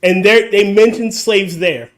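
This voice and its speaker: A man's voice: high-pitched, unhurried. A man hosting a casual podcast chat, blunt and swearing freely as he explains his point at length. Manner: blunt, swears freely, lectures in long runs. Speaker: podcast host.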